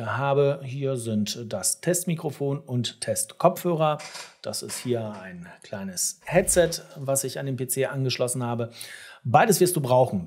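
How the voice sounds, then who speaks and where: Male tutorial host, forties, mid-pitched and a little rough-edged, direct and instructive, explaining step by step. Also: darkish tone. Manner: direct, instructive, addressing the viewer. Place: small home studio room, indoors.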